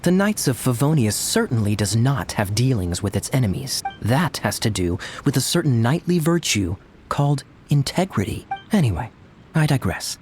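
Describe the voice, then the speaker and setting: An actor playing a suave, teasing young knight in a video game, smooth-talking and theatrical, with sly amusement. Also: male voice, medium pitch, very animated, normal speed, darkish tone, faint music behind, lightly acted. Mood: coolly knowing. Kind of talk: video game character acting.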